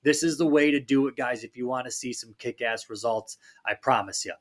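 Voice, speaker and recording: A man hosting a fitness podcast, talking in a casual, upbeat pep-talk way, his voice a little raspy from a recent cold. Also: medium pitch, a little fast. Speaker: fitness coach and podcast host.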